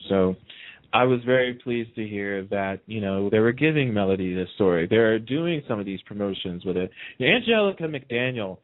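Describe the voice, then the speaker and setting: A low-pitched man comes through a narrow, phone-like line. He hosts a casual talk show, giving his opinions emphatically and repeating phrases to drive them home. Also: lively intonation, moderate pace. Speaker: radio show host. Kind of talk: casual opinionated soap opera chat.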